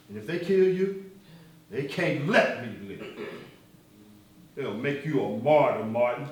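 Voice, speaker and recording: An actor playing a fiery, defiant, sardonic activist leader on stage, speaking in emphatic, mocking, oratorical phrases. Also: male voice, fairly high pitch. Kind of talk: theatrical stage acting, rhetorical speeches. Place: stage performance, recorded from far off. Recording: distant, very echoey stage recording.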